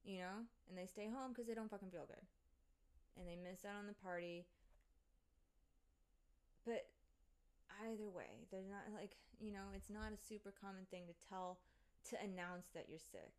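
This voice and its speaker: A woman's voice: mid-range voice, normal speed, long pauses, darkish tone. A young adult woman ranting casually to her viewers, exasperated, sarcastic and full of swearing.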